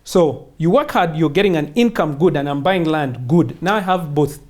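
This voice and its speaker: A woman's voice: deep voice, lively intonation, moderate pace. A woman explains things in an interview as a finance instructor, emphatic and teacherly, often posing questions and answering them. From Kenya or wider Africa.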